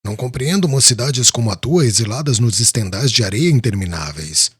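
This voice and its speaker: Hospitable merchant voiced by a man, raspy and animated, bone-dry. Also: medium pitch, a little fast, no room sound. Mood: puzzled. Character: kindly male merchant, hospitable host.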